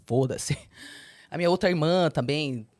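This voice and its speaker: A young adult woman, a guest in an interview, candidly telling her personal story and breaking into high, animated quotes. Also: moderate pace. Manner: expressive, swings into high animated quoting.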